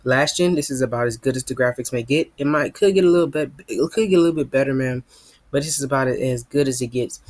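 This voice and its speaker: A man's voice: mid-range voice, fast, no room sound. A teenage boy, rambling casually to his viewers as a gaming YouTuber, sprinkling his talk with "man" and "you know what I mean". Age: teens.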